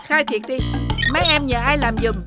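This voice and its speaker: Woman as a stern, high-voiced cow schoolteacher. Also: fairly quick, lightly acted. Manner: scolding, instructive. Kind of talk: animated children's cartoon acting. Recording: faint music behind the voice.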